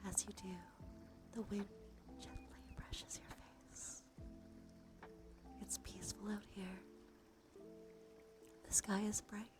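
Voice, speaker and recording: A woman giving an ASMR guided visualization in a slow, soothing, gently coaxing manner. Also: medium pitch, level tone. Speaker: ASMR streamer. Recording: music behind the voice. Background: music.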